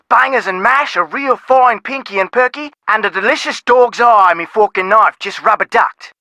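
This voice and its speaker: A man's voice: extremely high pitch, pushed and bright, no echo, exaggerated voice. A man playing a chirpy, chatty Cockney pub barman in a video game, full of rhyming slang. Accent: Cockney London. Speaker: pub worker taking phone orders.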